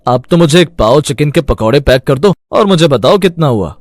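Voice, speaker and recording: A man's voice: fairly high pitch, animated, moderate pace, no room sound, clean studio sound, voice pushed down, natural voice. A man voicing a casual, insistent street-food customer in a cartoon story. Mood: casual ordering. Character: haggling, familiar male street-food customer.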